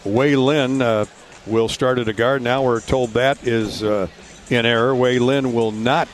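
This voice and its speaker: A man working as a radio play-by-play announcer, giving sports commentary in a somewhat halting way. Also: mid-range voice, fairly even intonation, a little hiss or hum.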